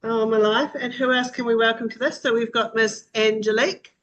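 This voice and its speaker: A woman's voice: on the high side, steady tone, fairly quick, fairly bright tone, dull audio, slight background noise. A woman hosting a casual group video call in an informal, teasing way. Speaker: host.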